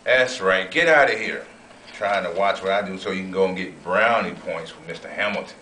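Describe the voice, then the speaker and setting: A man playing a proud, eager young railroad oilman and inventor in a folksy, expressive amateur sketch read-through. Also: low voice, a little fast, pitched below the performer's own voice. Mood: defiant. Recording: roomy, distant, noisy amateur recording.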